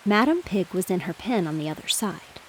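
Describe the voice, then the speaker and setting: A woman's voice: fairly low pitch, very animated, fairly quick. A woman reading a bedtime storybook aloud as a scripted narration. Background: rain, thunder and soft hiss.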